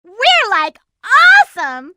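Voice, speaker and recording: A woman's voice: very high voice, takes it slowly, very noisy, full character voice. A woman playing a perky, determined young hedgehog heroine in a video game, leading her team energetically. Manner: energetic, bossy team leader. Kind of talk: video game character voice lines.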